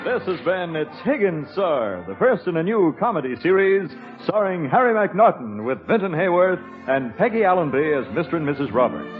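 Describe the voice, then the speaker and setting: A man's voice: a little high, big pitch swings, moderate pace, few pauses. A man announcing credits in the scripted style of 1950s radio. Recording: tinny narrow radio recording over music.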